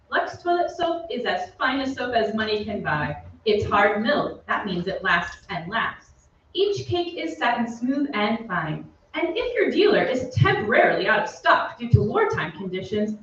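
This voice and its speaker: An actress playing an earnest, upbeat 1940s radio soap announcer with emphatic sales patter, read on stage. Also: female voice, on the high side, sing-song, moderate pace, fairly bright tone. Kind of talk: 1940s radio commercial re-enactment. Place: theatre stage, away from the mic. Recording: very echoey stage, distant, dull, faint noise.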